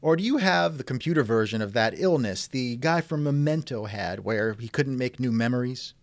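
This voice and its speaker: Male host for chat interview: mid-pitched, very expressive range, wry doubter. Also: normal speed, no room sound. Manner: wry, skeptical. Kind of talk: reenacted text-chat interview, conversational.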